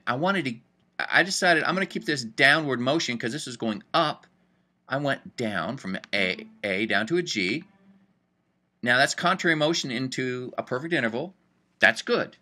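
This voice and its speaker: A man's voice: mid-range voice, bright, forceful tone. A man teaching music theory in a chatty, upbeat way, thinking aloud as he goes. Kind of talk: casual screen-recorded lesson.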